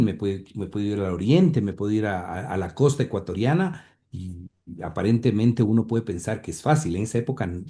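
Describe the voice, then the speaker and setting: An Ecuadorian man reminiscing as an interview guest, halting and repeating words as he recalls. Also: on the low side, warm tone.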